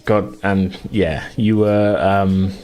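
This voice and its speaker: A man's voice: low voice, moderate pace. A man narrating casually as game master, improvising in an informal, hedging way.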